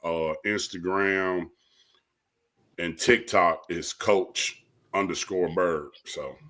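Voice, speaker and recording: A man's voice: low voice, fairly even intonation, slow, sharp tone. A man from Dayton, Ohio, a cast member and manager, telling teasing stories in casual video-call chat. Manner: joking, teasing storyteller.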